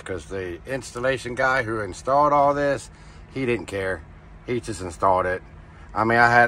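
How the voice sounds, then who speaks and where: A middle-aged American man giving a casual, plain-spoken how-to vlog from inside a truck cab. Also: lowish voice. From United States. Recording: noisy truck cab, loud vehicle ambience.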